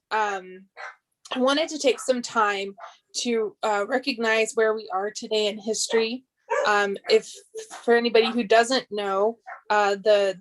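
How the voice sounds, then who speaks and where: A woman's voice: medium pitch, poor audio. A woman serving as a school board member, giving earnest remarks at a public meeting.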